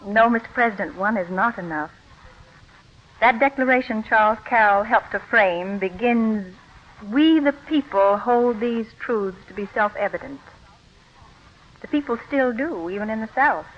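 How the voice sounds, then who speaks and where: A woman's voice: on the high side, expressive, a little slow. Through a dull vintage radio recording comes a poised nineteenth-century lady. She speaks in formal, carefully composed sentences, a shrewd legal mind in a period radio play. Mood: earnest, assured. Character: poised, shrewd 19th-century Southern lady lawyer and secret adviser. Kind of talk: 1940s radio drama acting.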